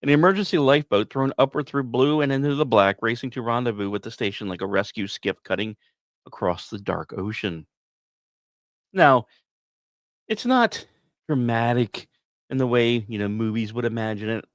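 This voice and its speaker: A man hosting a podcast, delivering scripted storytelling narration with dramatic emphasis and deliberate pauses. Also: medium pitch, no echo. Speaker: podcast host.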